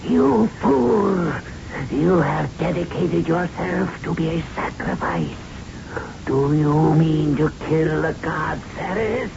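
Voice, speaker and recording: An actor in a 1970s radio drama playing a menacing unearthly voice that pronounces an eternal curse in an ominous, declamatory manner. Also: male voice, a little high, takes it slowly, creaky. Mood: wrathful, threatening. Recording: noisy muffled 1970s radio recording.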